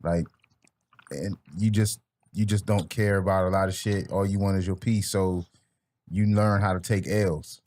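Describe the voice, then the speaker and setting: A man's voice: low voice, fairly even intonation, unhurried, a little mellow. A man in his early forties in a casual podcast chat, speaking reflectively and giving advice in slangy talk. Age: early 40s. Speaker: podcast guest.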